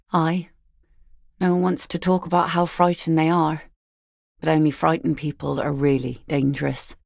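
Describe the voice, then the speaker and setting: A weary, sardonic net mender who has heard every joke. Her low, gravelly voice stays dry and teasing. The close studio recording has no room sound at all. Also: a little mellow, dry recording. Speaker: voice actress. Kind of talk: video game voice lines.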